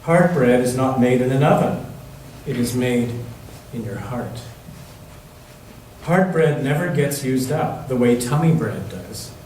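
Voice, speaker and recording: A man's voice: mid-range voice, animated, darkish tone. Echoey man reading to children, distant mic. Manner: pauses to ask the listening children questions. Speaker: church children's story reader. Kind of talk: reading a picture book aloud to children. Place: large echoey church interior. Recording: very echoey church room, distant mic, faint noise.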